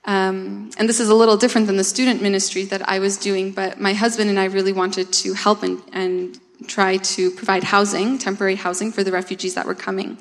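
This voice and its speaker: A woman's voice: medium pitch, little pitch movement, fairly quick, hardly any pauses. Woman speaking in a reverberant hall. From Canada. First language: Russian. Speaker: campus ministry worker. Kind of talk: church mission update talk.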